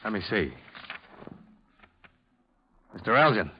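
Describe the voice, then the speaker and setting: Hard-boiled radio detective, low voice. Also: male voice, very animated, slow, slightly rough, heavily acted. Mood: brisk, firm. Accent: American. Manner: clipped, dry, businesslike. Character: cool, hard-boiled male insurance investigator. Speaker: lead actor and narrator of a detective series. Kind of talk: 1950s American radio detective acting. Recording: muffled, noisy old radio recording.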